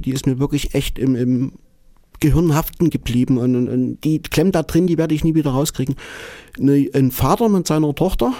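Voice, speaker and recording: A German man talks as an interview guest, his mellow voice sitting a little high for a man. He stumbles and repeats words, tacking on "ne" as he goes. The sound is dry and close. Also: no room sound. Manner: halting, repeats words, frequent "ne" tags. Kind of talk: conversational interview. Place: living in Japan.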